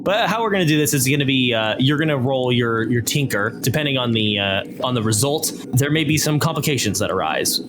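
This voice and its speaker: A man running a tabletop game, giving quick rulings with halting fillers. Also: medium pitch, lively intonation, background noise. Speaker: game master. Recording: loud music bed.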